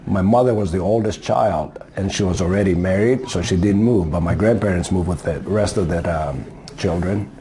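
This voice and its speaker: A man in his sixties from Greece, speaking in a lowish, warm, mellow voice. He answers interview questions casually, hesitating often and filling the pauses as he goes. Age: 60s. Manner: hesitant, many fillers.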